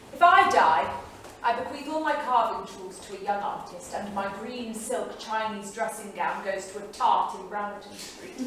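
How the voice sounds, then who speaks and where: A woman's voice: medium pitch, fairly bright tone, natural voice. An actress playing a frank, flamboyant bohemian woman of the 1930s in a vivid, theatrical staged monologue. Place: theatre studio stage, far microphone. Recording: distant echoey theatre studio, faint noise.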